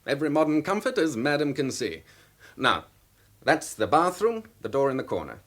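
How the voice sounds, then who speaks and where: A man's voice: on the high side, sing-song, normal speed. An actor playing a young newlywed businessman in a radio drama, suave and affectionate but guarded. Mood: genial, playful.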